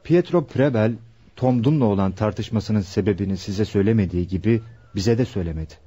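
An earnest, husky young fiancé. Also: male voice, fairly low pitch, brisk, warm tone. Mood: earnest, thoughtful. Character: earnest young fiancé helping a police investigation. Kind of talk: Turkish radio theatre acting. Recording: dull, muffled old radio drama recording.

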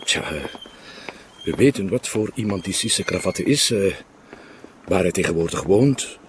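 A man's voice: deep voice, lively intonation, measured pace, background noise. An actor with a Flemish accent playing an earnest, literal-minded junior policeman in a TV crime drama, polite and hesitant. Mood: earnest, unsure. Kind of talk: naturalistic TV crime drama dialogue.

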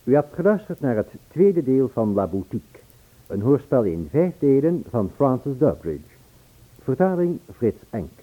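A man's voice: medium pitch, sing-song, unhurried, a little hiss or hum. A man as a radio announcer reading formal scripted closing credits. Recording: tinny narrow old radio recording.

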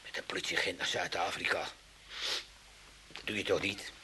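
A man's voice: low voice, little pitch movement, moderate pace, sharp tone, a little hiss or hum. A man playing a cantankerous, self-pitying old father, blustering and theatrical in a comic radio play. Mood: aggrieved. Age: older.

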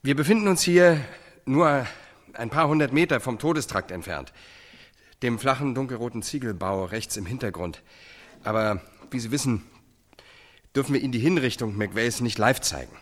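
An actor playing a formal American TV reporter presenting a live news broadcast in a radio drama. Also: male voice, medium pitch, moderate pace, close to an everyday voice. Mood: formal, solemn. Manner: formal, announcer-like.

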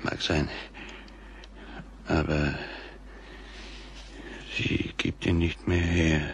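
An actor playing a drunken, bewitched bridegroom in a German radio play, husky and halting, theatrical and agitated. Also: rumbling low, little pitch movement, a little hiss or hum, full character voice. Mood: haunted, confessing. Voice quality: husky, gravelly. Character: drunken, bewitched bridegroom, mocking then desperately frightened.

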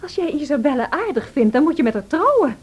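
A woman's voice: high-pitched, big pitch swings, lo-fi sound. A woman playing a tempestuous, self-pitying young married woman in a radio drama, theatrical and emotional. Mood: reproachful, tense. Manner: theatrical, emotional, slow and deliberate. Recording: noisy old radio drama recording.

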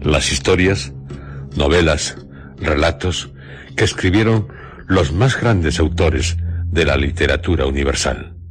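A man narrates a radio drama. He is solemn and theatrical, unhurried, leaving long pauses between short phrases. Music plays clearly behind him. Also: a bass voice.

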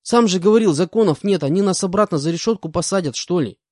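A man's voice: high-pitched, expressive, fast, a little mellow, no room sound, studio quality, pitched above the performer's own voice. A man voicing a whiny young male convict with a scraggly beard, pleading in panic. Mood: panicked.

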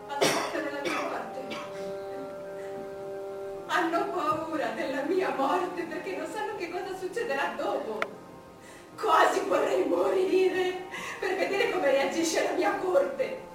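A woman's voice: very high voice, takes it slowly. An actress playing an ageing, proud, paranoid and imperious queen in a theatrical stage monologue, with dramatic declamation. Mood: scornful. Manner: dramatic declamation, swinging from hushed brooding to loud outbursts. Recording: echoey stage, distant mic, loud music behind.

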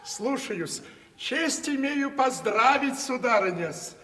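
An actor playing an obsequious old manservant in a 1970s radio play. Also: male voice, very high voice, lively intonation, takes it slowly, pushed and bright, exaggerated voice. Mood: servile. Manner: servile, bowing, old-style "-s" politeness. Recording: echoey, reverberant studio.

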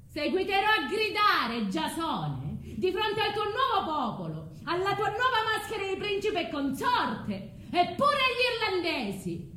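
A woman's voice: sits high, fairly bright tone, a little hiss or hum, full character voice. An actress playing Medea, a betrayed foreign wife and mother, proud and wounded, in an emphatic, declamatory theatrical monologue. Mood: defiant. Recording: roomy stage sound with music.

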